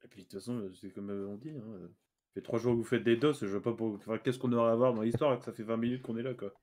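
A man's voice: medium pitch, steady tone, fast, a little mellow. A man chatting casually on a voice chat, blunt and argumentative. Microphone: voice chat over computer mic.

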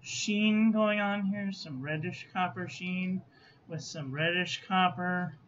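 A man chatting casually while swatching ink at his desk, phlegmy and clearing his throat, with self-mocking asides. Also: sits high, steady tone, takes it slowly, a bit bright. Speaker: ink reviewer. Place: small room at a desk. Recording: dull lo-fi audio with faint room noise.

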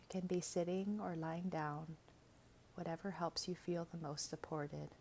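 A woman reading a scripted guided meditation in a gentle, soothing, measured way, with soft, breathy phrase endings. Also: lowish voice, fairly even intonation, slight background noise.

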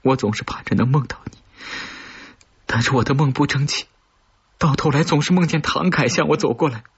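An obsessive, lovesick woman of a suspense audiobook, acted out by a man, implores in a very breathy, whispery voice. The pitch is raised above a man's usual talk. The sound is slightly dull. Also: brisk. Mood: desperate, pleading. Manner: imploring, intense. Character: obsessive, lovesick, pleading woman who has killed.

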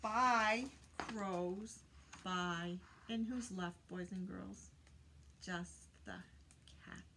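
A woman's voice: mid-range voice, extremely slow, pushed and bright, slight background noise. An older woman doing a children's read-aloud, teaching in a sing-song way and putting questions to her young listeners. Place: small indoor room lined with bookshelves.

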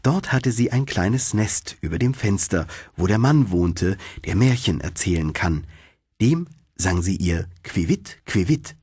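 A low-voiced man reads a fairy tale as a scripted audiobook. His delivery is expressive and very animated in its melody. The voice has a husky grain and is recorded dry and close. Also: unhurried, no echo. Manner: expressive storytelling. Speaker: audiobook narrator.

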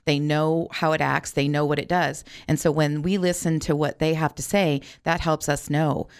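A woman's voice: low-pitched, fairly even intonation, normal speed. A middle-aged woman talking in a radio interview as the co-owner of an auto repair shop.

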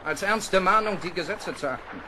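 An actor playing a stern, driven sea captain in a radio play, speaking in a formal, commanding, clipped manner. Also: male voice, sits high, animated, moderate pace, very noisy. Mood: stern, lecturing. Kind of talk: German radio play acting.

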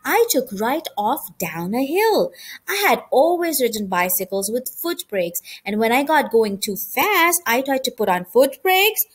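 A woman's voice: a little high, big pitch swings, a little slow, breathy edge, full character voice. A woman voicing a friendly, candid young boy in a children's storybook, eager to be older. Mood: confiding. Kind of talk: children's storybook character reading.

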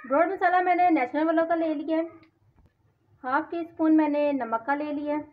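A woman's voice: sits high, normal speed. A woman with a Pakistani Urdu accent, hosting a cooking channel, listing recipe ingredients one by one. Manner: lists amounts one by one. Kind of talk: step-by-step recipe voice-over. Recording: dull lo-fi with faint hiss.

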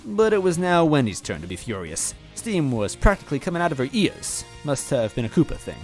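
Animated man reading a story aloud. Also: on the high side, fairly quick. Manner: animated, wry, playful. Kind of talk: dramatic reading of fan fiction with asides. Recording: faint music underneath.

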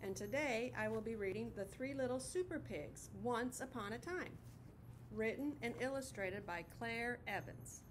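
A woman's voice: on the high side, normal speed, noisy background. A middle-aged woman teacher reading a picture book aloud expressively to children, recorded in a classroom. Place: classroom, indoors.

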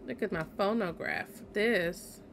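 A woman's voice: mid-range voice, unhurried, vocal fry. Casual young woman streamer, faint noise. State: tired, yawning. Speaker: game streamer. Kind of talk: casual let's play commentary, reading game text aloud.

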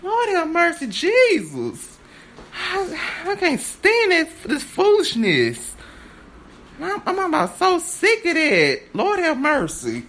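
A woman performing an improvised stage-play monologue, halting and stammering as she repeats her phrases. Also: extremely high pitch, wildly swooping pitch, fairly bright tone, a little hiss or hum.

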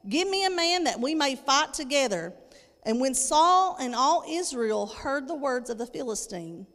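A woman's voice: high voice, a bit bright. A woman in her thirties preaching a sermon, reading scripture and explaining it emphatically, repeating phrases for weight. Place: church stage indoors, at a lectern. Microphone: handheld microphone. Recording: echoey hall with long reverb.

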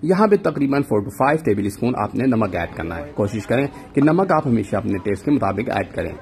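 A man's voice: medium pitch, sing-song, a little fast, creaky, a little mellow. A man narrating a cooking recipe in an instructional voice-over, walking through each step and mixing in English words. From Pakistan. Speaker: cooking channel host.

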